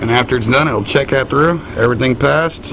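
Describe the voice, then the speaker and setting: A man's voice: medium pitch, fairly quick, creaky. A man giving a casual, folksy product demonstration of radio gear, using trucker slang. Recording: muffled, loud noisy radio hiss.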